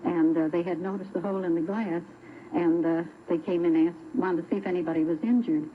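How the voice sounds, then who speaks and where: A woman's voice: on the low side, steady tone, brisk, phone-quality sound, a little hiss or hum. An American woman telling a personal anecdote as a radio show guest, in a formal, orderly way, on an old broadcast recording. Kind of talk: unhurried personal storytelling.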